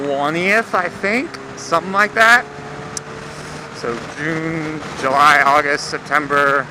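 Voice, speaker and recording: A young American man chatting casually in a rambling, slangy, laid-back way, as a motorcycle vlogger out riding. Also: on the high side. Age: young adult. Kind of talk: casual rambling vlog chat. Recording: engine, road and traffic noise. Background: engine and vehicle noise.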